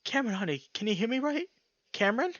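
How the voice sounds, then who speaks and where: A man voicing a young male bear, panicked, furious and protective, with a voice that cracks when he shouts, for a visual novel. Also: very high-pitched, very animated, quick pace, no echo, dull audio, voice pushed up, exaggerated voice. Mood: panicked pleading.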